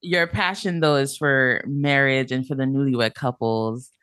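A woman's voice: a bass voice, steady tone, unhurried, a bit bright, clear, resonant tone, dry recording, studio quality. A woman hosting a podcast about marriage, reading an intro and then interviewing a guest with enthusiasm. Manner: upbeat, enthusiastic. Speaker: podcast host.

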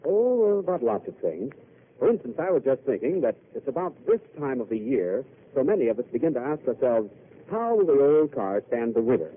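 Lively old-time radio ad announcer. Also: male voice, medium pitch, breathy edge. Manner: lively salesman delivery, quick rehearsed patter. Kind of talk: live radio commercial read. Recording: tinny old radio broadcast.

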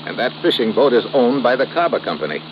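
A man's voice: medium pitch, sing-song, fast talker, natural voice. A man acting a terse, level-headed investigator in an old-time radio drama, clipped and matter-of-fact. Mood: brisk, reasoning. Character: terse, level-headed male investigator narrating his own case. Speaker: lead actor and narrator. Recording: noisy, muffled old radio recording.

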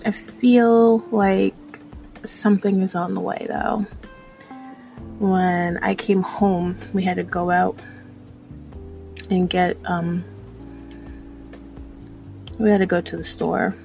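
A woman in her thirties talks casually and introspectively, as in a personal vlog. Her delivery is unhurried and halting, with plenty of vocal fry. Faint music plays along with her voice. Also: medium pitch, steady tone, dark tone, rough recording. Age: 30s. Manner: hesitant, rambling, introspective. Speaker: vlogger.

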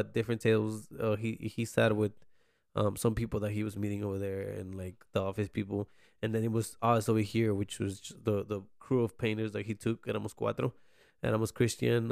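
A man chats casually and flips between languages as he retells a story. His voice has a gravelly fry, and the sound is dry and close. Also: lowish voice, a little mellow, dry recording. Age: late 20s. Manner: code-switching, repeats words, quotes others. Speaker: painter.

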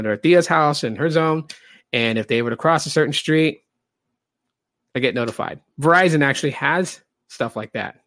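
A man's voice: fairly high pitch, fairly bright tone, no echo. Light-voiced man hosting a casual podcast. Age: late 30s. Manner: rambling, chatty, many fillers. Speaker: podcast host. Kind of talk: casual livestream podcast talk.

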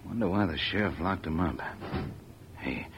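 A wary outlaw behind bars, muttering conspiratorially. The voice is extremely deep and hushed. It sits in a faintly noisy old radio western recording. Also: male voice, moderate pace, highs cut off. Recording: noisy vintage radio, off-mic.

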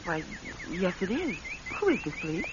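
A woman's voice: fairly low pitch, big pitch swings, moderate pace. An actress playing an affectionate, playful married woman in a radio drama. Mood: polite, hesitant. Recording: dull vintage radio recording, faint noise.

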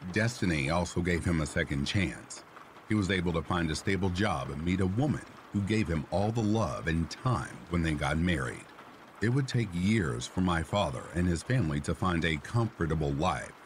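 Deep-voiced man narrating a story. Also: a little hiss or hum. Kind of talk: scripted narration of a reader-submitted story. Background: faint rain sounds.

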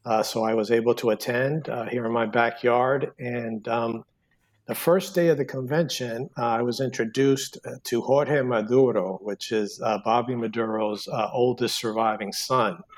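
A man's voice: medium pitch, steady tone, normal speed. A man in his sixties, born in Cuba, talks through a podcast interview as the guest author. He hesitates constantly, filling gaps with small fillers and backing up to restart his sentences.

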